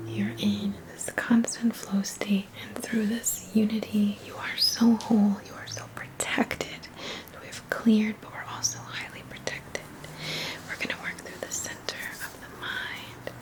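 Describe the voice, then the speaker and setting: A woman leading an ASMR guided energy-healing session in a breathy whisper, soothing and repeating short phrases. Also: mid-range voice. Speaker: ASMR reiki practitioner and host.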